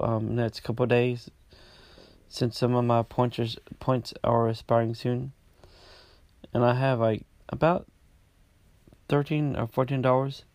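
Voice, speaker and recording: A man hosting his own podcast, talking casually about his week, congested and halting with many restarts. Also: medium pitch, a little mellow, dry recording. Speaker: podcast host. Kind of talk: casual personal update.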